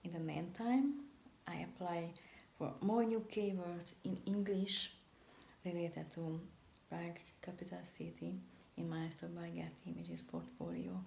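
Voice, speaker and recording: A woman delivers a video message in an earnest, measured way, stopping now and then to hesitate. Her voice is somewhat low and gravelly, with a dark, mellow tone. The room around her is echoey, with a faint hiss of noise. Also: expressive. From Hungary. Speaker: photographer giving a yearly message. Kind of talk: read-aloud video message.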